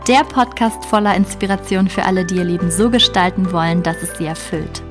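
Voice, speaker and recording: A woman's voice: fairly low pitch, brisk, over music. A woman in her early thirties hosting a podcast, chatting casually and laughing often as she asks reflective questions. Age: 30s. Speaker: podcast host.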